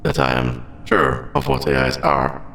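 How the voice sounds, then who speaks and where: Sentient AI , played by a man for ASMR roleplay: bright, crisp-edged tone, very wide pitch swings, formal and hesitant, echoing space. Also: wildly swooping pitch, a little hiss or hum, exaggerated voice. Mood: uneasy, confused. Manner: halting, formal, clipped robotic phrasing. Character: lovestruck sentient AI, formal, conflicted, hesitant about forbidden feelings. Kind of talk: ASMR audio roleplay, scripted monologue.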